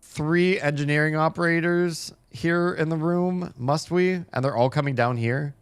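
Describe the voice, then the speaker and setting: A young man giving casual, profane running commentary while playing a video game, his voice slightly hoarse. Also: fairly high pitch, fairly even intonation, measured pace, no room sound. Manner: chatty, swears a lot, talks to himself while playing.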